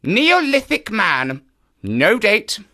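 A man playing an eager male naturalist who knows the moors, explaining with animated enthusiasm. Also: sits high, slow, full character voice. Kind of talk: audio drama acting.

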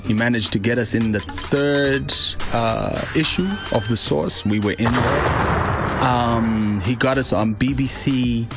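A man from Zimbabwe, a guest on an interview, telling his story in a relaxed, rambling way with lots of "you know". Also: mid-range voice, slow, loud music behind the voice. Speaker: interview guest, hip hop artist.

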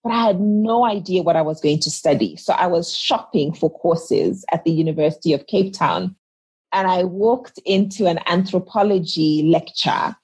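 A woman from Zimbabwe in her thirties talks as an interview guest. Her husky, low voice moves earnestly and reflectively, often restarting a thought as she works it out. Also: moderate pace, darkish tone, rough recording.

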